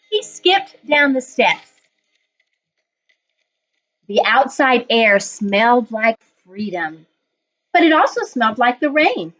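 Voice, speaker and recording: A woman reading coach reading a children's story aloud in an expressive, deliberate storytelling manner. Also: a little high. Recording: dull lo-fi online recording.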